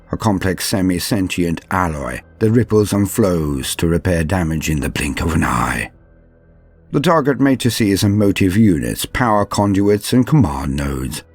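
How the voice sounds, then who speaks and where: A man hosting a lore guide, reading scripted narration in a theatrical, measured and formal manner. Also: low voice, gravelly, a little mellow, breathy edge. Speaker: host of a Warhammer lore guide.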